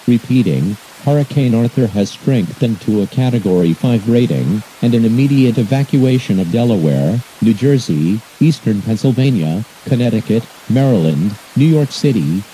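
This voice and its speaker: Very dark, muffled man reading emergency alerts. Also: lowish voice, lively intonation, moderate pace, hardly any pauses. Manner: formal, impersonal. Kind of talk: scripted emergency alert announcement. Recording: muffled, hissy emergency broadcast audio.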